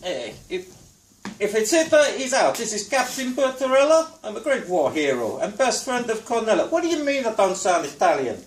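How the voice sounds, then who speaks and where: A woman's voice: a little high, sing-song, moderate pace. A woman reading plays aloud as an amateur actor, playful and hammy. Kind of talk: play reading, theatrical. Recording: roomy theatre from far off.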